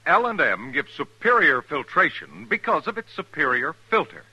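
A man's voice: fairly high pitch, sing-song, moderate pace, sharp tone, husky, low-quality recording. A man with an American accent delivering a 1950s radio advertisement as an announcer. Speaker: commercial announcer.

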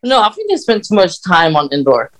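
A podcast host chatting casually, giving opinions in a hesitant, halting way. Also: medium pitch, huge pitch swings, fairly bright tone. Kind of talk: casual film-fan chat.

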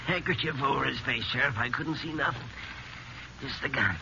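A wounded townsman in a Western radio play, short of breath and weak. His rustic speech comes in halting, bewildered gasps. The old recording is dull with a little noise. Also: medium pitch, sharp tone. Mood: pained, bewildered. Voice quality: breathy, full of air. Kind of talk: 1950s Western radio drama acting. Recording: muffled, noisy 1950s radio recording.

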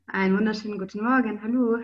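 A woman's voice: mid-range voice, steady tone, quick pace, lo-fi sound. A young German woman, a podcast guest, speaking fluently and thoughtfully. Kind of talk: casual interview.

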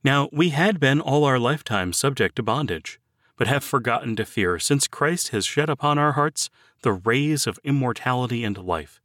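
Guy reading an old-style sermon like an audiobook, formal and measured, pitch moves a lot, very dry recording. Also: lively intonation, fairly bright tone, dry recording. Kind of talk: scripted devotional sermon reading.

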